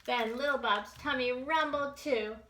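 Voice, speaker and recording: Slow, bright woman reading to young children. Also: high voice. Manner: animated, simple child-directed delivery. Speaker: library children's storytime reader. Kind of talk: expressive read-aloud storytelling for young children. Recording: roomy echo with background noise.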